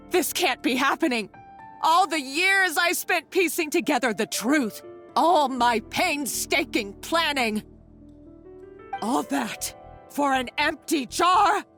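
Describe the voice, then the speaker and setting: A grandiose, scornful villainess from a video game. Her high voice swings widely as she savours each line slowly, obsessive and bitter. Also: lively intonation, fairly bright tone, soft background music, heavily acted. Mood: shocked, despairing. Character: obsessive, bitter, theatrical villainess treasure hunter. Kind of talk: video game cutscene acting.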